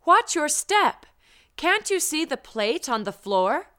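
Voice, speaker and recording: A woman's voice: high voice, big pitch swings, slow pace, pushed and bright. A woman reading short practice sentences for language learners, carefully enunciated. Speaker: language teacher. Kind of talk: slow drill sentences for learners.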